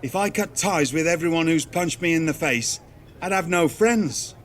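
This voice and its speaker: A man's voice: a little high, moderate pace, close to an everyday voice. An actor playing a seasoned older wrestling official with a wry, showman-like manner in a video game. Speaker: wrestling show official and ring introducer. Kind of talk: scripted game dialogue.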